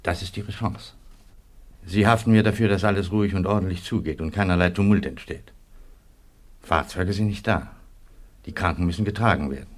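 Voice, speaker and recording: An actor playing a resolute army colonel, speaking firmly and urgently in a radio play. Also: male voice, low-pitched, normal speed, pauses often, close to an everyday voice. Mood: urgent, commanding. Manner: firm, commanding, urgent.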